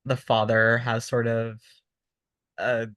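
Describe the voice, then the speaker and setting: A man's voice: mid-range voice, completely flat delivery, slow, fairly bright tone. A man chatting casually as a tabletop player, hesitant and self-deprecating as he offers ideas. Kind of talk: casual story brainstorming.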